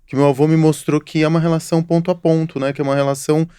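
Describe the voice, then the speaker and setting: A man's voice: on the high side, flat delivery, rapid-fire, mellow, clean tone, no echo, studio quality, faint music behind. A middle-aged man hosting a casual interview podcast, telling stories in a reflective, philosophical way. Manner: reflective, storytelling, apologetic asides. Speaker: podcast host.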